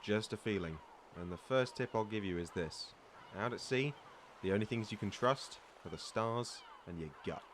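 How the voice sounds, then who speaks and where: Man acting as a deep-voiced boastful pirate. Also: normal speed, slight background noise, close to an everyday voice. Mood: mentoring, calm. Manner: bragging, teasing, theatrical storyteller with hesitant asides. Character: boastful, good-humored veteran pirate sea-beast hunter, male. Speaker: voice actor reading a script. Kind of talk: roleplay audio drama, storytelling to a listener.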